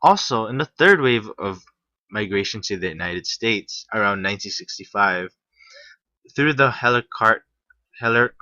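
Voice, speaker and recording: A young man from Hawaii reading a class presentation, halting at times with restarts. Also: fairly low pitch, sharp tone, no echo. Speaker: student presenter. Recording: muffled, slightly lo-fi.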